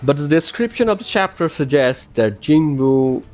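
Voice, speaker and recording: A man's voice: a little high, expressive, moderate pace, a little mellow. A man whose first language is not English, commenting on gameplay casually in a rambling way full of "like". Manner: rambling, filler-heavy, enthusiastic.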